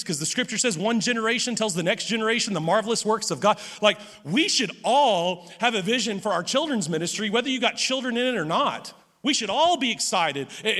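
High, bright-voiced pastor in a big hall. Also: male voice. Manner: stammering restarts, animated and repetitive; loud voice. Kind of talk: sermon. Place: church sanctuary. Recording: long hall reverb.

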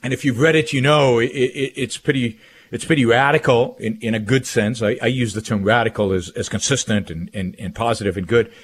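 A middle-aged man with an Israeli accent hosts a talk radio show solo. His pitch is medium for a man. He speaks emphatically, circling back to repeat phrases as he builds his monologue. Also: fairly quick. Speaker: guest radio host.

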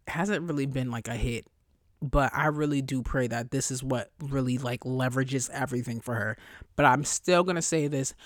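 A young woman hosting a solo podcast in a casual, chatty way, blunt and profane, her voice jumping high when she gets excited. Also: dry recording, clean studio sound. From New York area. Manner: profane, blunt asides to listeners. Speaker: podcast host.

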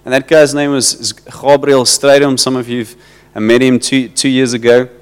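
A South African man leads his congregation with a loose, stop-start sermon, repeating himself as he finds his words. His voice is smooth and resonant. It carries a long reverb from the church hall around him. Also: mid-range voice, flat delivery. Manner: halting, repeats words, switches into Afrikaans. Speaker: pastor.

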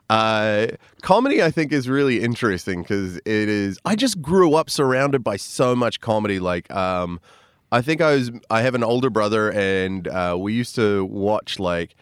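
A man's voice: on the low side, clean tone, no room sound, studio quality. A man, a comedian chatting as a podcast guest, rambling and self-deprecating with lots of fillers. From New Zealand. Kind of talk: casual interview chat.

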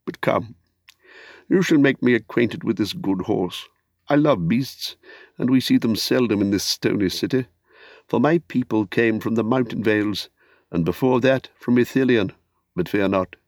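A man's voice: on the low side, moderate pace, no room sound. Man playing a hearty, courteous guardsman, dry sound. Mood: warm, courteous. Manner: hospitable, formal archaic phrasing. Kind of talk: audiobook character acting.

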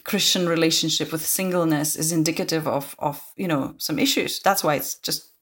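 A woman from Lithuania with a mixed Lithuanian and Scottish accent, a theologian guest chatting in a podcast interview, self-deprecating and quick to laugh. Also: low-pitched, fairly bright tone, slightly rough. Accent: Lithuanian with a Scottish twang.

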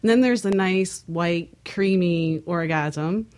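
Candid woman with a lowish voice. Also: takes it slowly, a little mellow. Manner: candid, earthy, anecdotal. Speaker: talk show panellist. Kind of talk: casual frank chat.

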